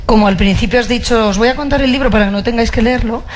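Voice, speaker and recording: A woman's voice: fairly high pitch, rapid-fire, a little hiss or hum. A woman interviewing an author about her book, attentive and ready with short affirmations.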